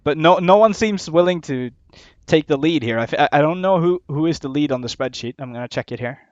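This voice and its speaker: A man's voice: on the high side, expressive, brisk, no echo. A man casting a live game stream, thinking aloud, laughing often and trailing off as he works things out. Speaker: caster. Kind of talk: casual live-stream commentary. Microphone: headset or desk mic, not on camera. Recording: dull lo-fi sound.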